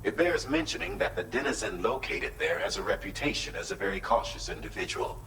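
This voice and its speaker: A man playing a composed, formal mission controller in a video game, speaking in measured, articulate and polite briefings. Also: sing-song, sharp tone, heavily acted. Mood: encouraging, formal. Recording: echoey hall, distant, noisy rumbling ambience.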